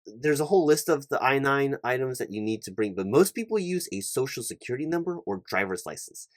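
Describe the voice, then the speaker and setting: A man's voice: mid-range voice, lively intonation, a little fast, dry recording, clean studio sound, voice pushed up, natural voice. A man playing a fussy, officious recruiting manager in a one-sided interview roleplay, rambling and repetitive with pedantic detail. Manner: rambling, repetitive, pedantic, stumbling over long lists. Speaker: ASMR roleplay performer.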